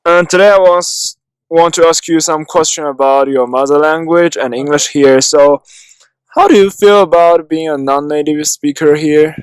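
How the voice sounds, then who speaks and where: Young man, student interviewer, smooth resonant voice, dry close sound. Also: fairly high pitch, moderate pace, dry recording, poor audio. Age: late teens.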